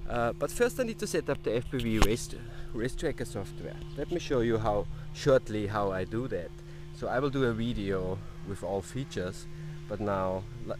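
Guy from austria, filming himself on an airfield, lots of uh and restarts, windy background. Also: low voice. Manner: halting, with frequent restarts and fillers. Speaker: drone racing hobbyist. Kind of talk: casual explanatory vlog. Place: outdoor airfield racetrack. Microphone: action camera held at arm's length. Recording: outdoor wind and vehicle noise.

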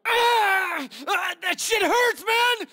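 A hot-tempered soldier in the thick of a game firefight. The voice is strained very high, rough with gravel and sharply forceful. The lines are urgent yells. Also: male voice, very slow, dry recording, studio quality, heavily acted. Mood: urgent, agitated. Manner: urgent, yelled. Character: fiery, foul-mouthed male soldier yelling in battle. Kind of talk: video game combat acting.

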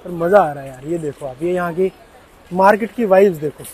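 A man in his thirties vlogging outdoors on a handheld camera, talking excitedly and casually, often saying "yaar". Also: sits high, brisk, a little hiss or hum. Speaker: travel vlogger. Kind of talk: casual travel vlog narration.

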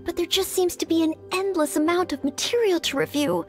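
An extremely high-pitched young maid for a video game, earnest and courteous. She is hesitant and self-doubting yet dutiful, and background music plays softly under her words. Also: a little fast. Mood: polite, eager. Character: earnest, anxious young maid aspiring to knighthood.

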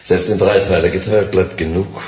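A man's voice: deep voice, steady tone, moderate pace, lightly acted. A man acting in a German radio crime drama as a cautious, wry art thief with a worldly, philosophising manner. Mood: matter-of-fact. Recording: roomy echo with audible background noise.

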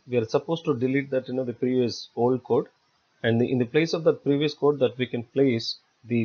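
A man giving step-by-step software tutorial narration as an instructor, in a halting, repetitive explanatory way. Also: medium pitch. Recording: dull lo-fi voice-over recording.

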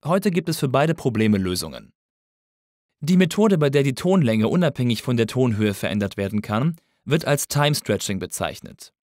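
A man's voice: mid-range voice, very animated, normal speed, darkish tone, no echo. Man narrating a lesson in a dry studio sound. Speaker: audio engineering instructor.

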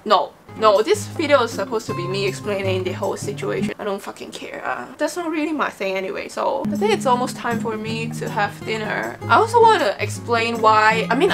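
A woman's voice: on the high side, expressive, a little fast, hardly any pauses, music in the background. A young woman from Hong Kong whose first language is Cantonese, talking to the camera in a casual vlog, rambling and frank, often correcting herself. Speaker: vlogger. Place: small bedroom. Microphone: camera-mounted mic, seated in front of camera.

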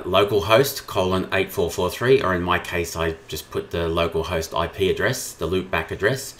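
A man giving an informal screen-share tutorial, explaining things step by step as a software trainer. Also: low-pitched.

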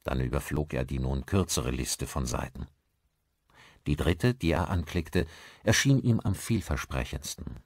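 A man's voice: rumbling low, unhurried, no echo, studio quality. A man narrating a suspense novel as a scripted audiobook.

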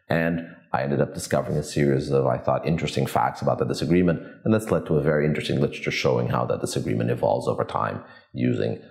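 Deep-voiced man from Portugal in his forties, measured academic, roomy office sound. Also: a little mellow. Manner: measured, academic, thinks aloud with restarts. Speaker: economics professor. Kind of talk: interview answers to camera. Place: office with glass walls, indoors.

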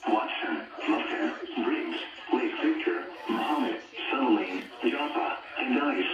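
A man's synthesized weather radio voice reading a formal emergency bulletin in a flat, impersonal, clipped way. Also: medium pitch, unhurried, loud music behind the voice. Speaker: weather alert announcer. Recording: dull radio and TV speakers, roomy, distant.

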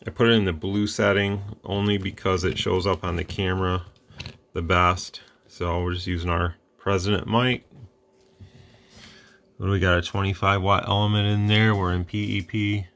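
A man hosting a casual radio demo at his workbench, talking in a relaxed, plain-spoken way with rambling asides. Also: low voice, normal speed. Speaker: radio repair channel host.

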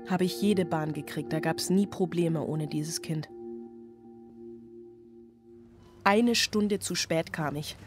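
Stressed single mom in a radio play, clipped list-like lines, music under it. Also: female voice, low voice, measured pace. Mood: bitter, embarrassed. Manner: clipped, list-like, drilled phrasing. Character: stressed, driven single mother and surgical nurse. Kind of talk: radio drama monologue and dialogue.

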